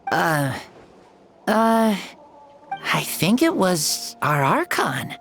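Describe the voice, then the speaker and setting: An earnest, wide-eyed little boy from a video game. The speech is slow and hesitant, with some gravelly creak in it. Faint music sits behind. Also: lowish voice, sing-song, a bit bright, exaggerated voice. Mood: confused, groggy. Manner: hesitant, halting.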